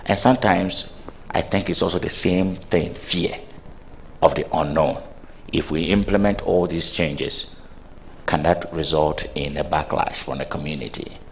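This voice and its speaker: A man's voice: a bass voice, steady tone, unhurried, a little hiss or hum. A man speaking earnestly as a panelist and scientist, advocating for inclusive community change. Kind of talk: panel discussion.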